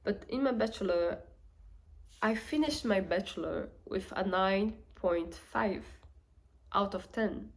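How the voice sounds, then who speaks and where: A young woman with a Portuguese accent talks to her camera in an unhurried, slow way. She thinks aloud as she goes, pausing on fillers and starting sentences over. Her pitch sits in an ordinary middle range. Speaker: vlogger. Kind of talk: casual reflective talk to camera.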